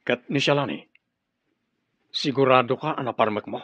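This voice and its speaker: A man with a Filipino accent playing a grave, measured rebel commander in a radio drama. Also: mid-range voice, fairly bright tone, dry recording, close to an everyday voice. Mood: grave, resigned. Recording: muffled dry studio radio drama.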